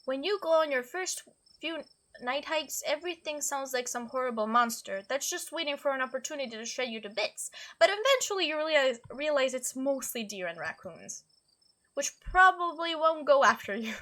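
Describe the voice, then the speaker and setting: A woman's voice: high-pitched, lively intonation, normal speed, sharp tone. A woman hosting a game playthrough, reading dialogue aloud expressively and adding her own commentary. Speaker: gaming video host.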